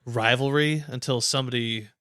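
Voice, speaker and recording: A man talking casually on a home podcast, with a bright, forceful tone at a middle pitch. He keeps agreeing with quick short replies. The sound is dry and close, with no room echo. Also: fairly even intonation. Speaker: podcast co-host. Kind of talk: casual sports chat. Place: his home.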